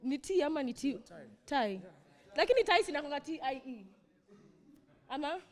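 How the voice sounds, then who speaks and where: A woman's voice: high voice, expressive, a little fast. A Kenyan woman hosting a comedy night, playfully roasting guests on stage. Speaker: host. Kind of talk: stand-up compering.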